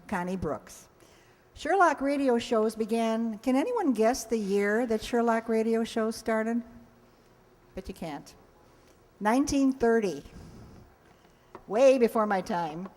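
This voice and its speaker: A woman with a slightly high voice introduces a live show in a reverberant hall. She reads from a script in a folksy, lightly joking way, speaking to an audience. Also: darkish tone. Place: hall stage before an audience.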